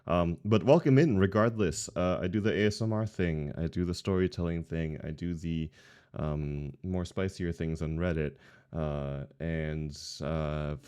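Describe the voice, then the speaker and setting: Low-pitched man hosting a livestream. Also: a little slow, a little mellow, clean tone. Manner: informal, jokey, welcoming viewers by name. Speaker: stream host. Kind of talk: casual chat introducing a livestream.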